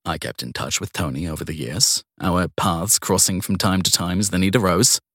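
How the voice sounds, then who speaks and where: A man reading a scripted first-person crime story in a measured storytelling manner, with dramatic pauses. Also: low-pitched, fairly bright tone, husky, no room sound, studio quality. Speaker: narrator of a short crime story.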